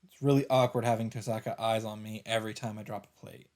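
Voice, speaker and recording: A man's voice: on the low side, flat delivery, fast talker, darkish tone. A man hosting a let's play, reading visual novel narration aloud. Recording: game music faintly behind. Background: game music.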